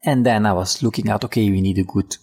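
A man, a Dutch speaker speaking English, hosting his own podcast and telling a personal story in a relaxed, chatty way with fillers and restarts. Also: fairly low pitch, fast, breathy edge. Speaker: podcast host. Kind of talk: casual personal storytelling monologue.

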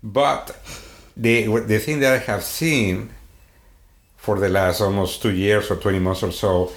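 Swedish-accented man, halting, in echoey room. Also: fairly low pitch, steady tone. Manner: halting, many restarts and fillers. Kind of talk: casual conversation.

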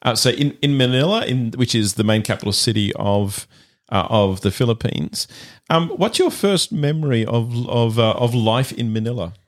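A man's voice: medium pitch, moderate pace. Hesitant man hosting a church podcast. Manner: hesitant, restarts questions. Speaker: podcast host.

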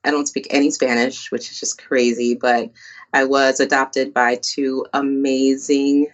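An upbeat woman tells her story as an interview guest. She talks with quick asides, and her voice comes through slightly muffled. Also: rumbling low, level tone. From born in Colombia, raised in New Jersey. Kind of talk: casual interview conversation.

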